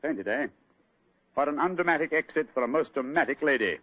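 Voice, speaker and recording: An actor playing an assured gentleman detective explaining his deductions in an old radio drama. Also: a little high, expressive, brisk, very noisy, lightly acted. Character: calm, clever gentleman detective. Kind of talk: 1940s radio acting. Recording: tinny old radio broadcast.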